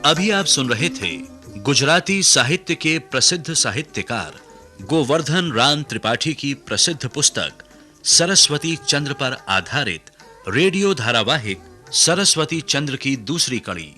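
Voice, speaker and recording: A man reads scripted radio credits in a formal, measured way. His mid-pitched voice is bright and lively in intonation. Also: faint music behind. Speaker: radio drama announcer. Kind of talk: scripted broadcast credits announcement.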